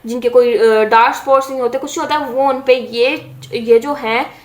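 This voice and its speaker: A young woman talks through a makeup tutorial in a high, bright voice. She is chatty and explanatory, pausing with small hesitations. The room around her is echoey. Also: steady tone. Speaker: beauty vlogger.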